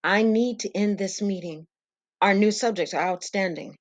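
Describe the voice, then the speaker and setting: A woman playing a smug, coldly confident male scientist doctor with a measured delivery in an audio drama. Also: mid-range voice, highs cut off, natural voice. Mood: firm, proud.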